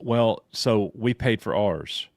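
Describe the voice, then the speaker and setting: A man from Alabama, co-hosting a casual livestream chat, excitable and quick to laugh over a video call. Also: lowish voice, creaky, dry recording, studio quality. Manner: excited, laughing, restarts his phrases. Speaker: co-host.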